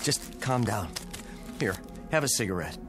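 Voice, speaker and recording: An actor playing a level-headed husband in a vintage radio drama, talking in a calm, dry, reassuring way. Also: male voice, fairly low pitch, unhurried, over music. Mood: soothing. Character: level-headed husband exploring an eerie empty town.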